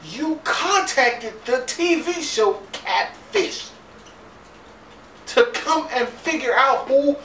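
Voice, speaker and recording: A man rants through a casual comic recap, his pitch leaping up and down as he laughs in disbelief. He sits away from the mic in a roomy space with a faint hum of background noise. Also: far from the mic. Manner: animated, incredulous, laughing, profane. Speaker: vlogger recapping a TV episode.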